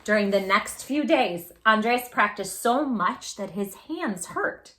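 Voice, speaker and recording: A woman hosting a children's read-aloud, expressive and encouraging, emphasising words and chatting directly to young listeners. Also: mid-range voice, takes it slowly. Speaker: storybook reader, channel host.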